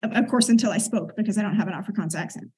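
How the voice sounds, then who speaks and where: A middle-aged woman, a podcast guest, earnestly and reflectively recounting her experiences and clearing her throat now and then. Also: medium pitch, rapid-fire, warm tone. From United States. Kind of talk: conversational interview storytelling.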